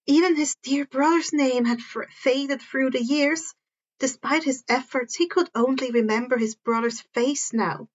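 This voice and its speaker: A woman's voice: high voice, highs cut off. A woman reading a story aloud as narrator, now and then stumbling over and repeating words, on a modest home recording. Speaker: fan fiction narrator.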